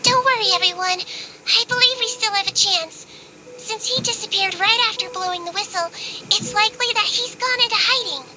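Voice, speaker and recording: A woman voicing a small, childlike nurse in a video game, gentle and thoughtful, reasoning things out in a measured way. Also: very high-pitched, noisy background. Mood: reassuring. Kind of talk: video game character acting.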